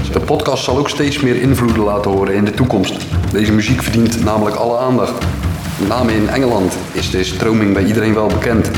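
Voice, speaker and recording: A man hosting a podcast with scripted narration, speaking in a musing, philosophical way. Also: fairly low pitch. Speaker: podcast host. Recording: echoey, distant voice under loud music. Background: loud electronic music.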